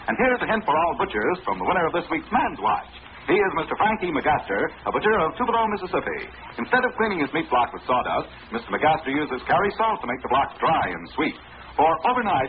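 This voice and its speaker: A man's voice: fairly high pitch, narrow, tinny audio. A man announcing a 1940s radio advertisement with folksy salesmanship, on a noisy old broadcast. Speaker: commercial announcer.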